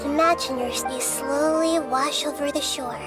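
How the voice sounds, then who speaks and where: A wise child goddess from a video game. Her voice is high and girlish, with calm, measured, gentle phrasing. Music is clearly heard behind her. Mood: soothing.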